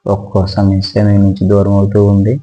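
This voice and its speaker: A man leads guided yoga relaxation in a low, mellow voice. His delivery is slow, calm and very even, leaving pauses between the instructions. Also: highs cut off.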